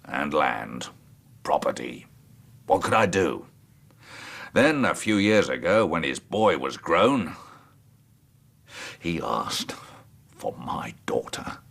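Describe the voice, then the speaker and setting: A dying old landowner in a radio play, bitter and unrepentant. His voice is extremely deep, cracked with gravel and husky, and he speaks with slow, heavy emotion. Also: big pitch swings, fairly bright tone, heavily acted. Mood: bitter, anguished. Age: older. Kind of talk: radio drama acting.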